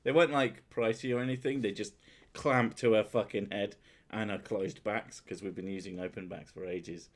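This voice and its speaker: A man's voice: lowish voice, fairly even intonation, normal speed. A man, chatting casually with his partner on a stream, swearing freely and mocking himself. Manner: sweary, self-mocking, loud laughing. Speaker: streamer host. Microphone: headphones and desk mic.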